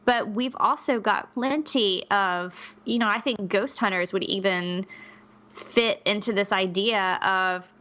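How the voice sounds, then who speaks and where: A woman's voice: medium pitch, slow pace, pushed and bright. A woman co-hosting a podcast, speaking earnestly and reflectively in a casual discussion, with light laughs. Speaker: podcast co-host.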